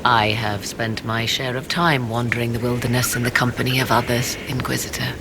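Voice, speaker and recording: An actress playing a mysterious, proud sorceress in a video game, speaking in formal, archaic and measured phrases with a low, creaky voice. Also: female voice, flat delivery, noisy background. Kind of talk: video game dialogue acting.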